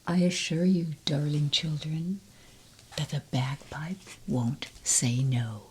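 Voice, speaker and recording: A woman reading children's poems aloud in a soft, gentle whisper, close to the mic. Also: low voice, slow, slight background noise. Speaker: storybook reader. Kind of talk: whispered ASMR poetry reading.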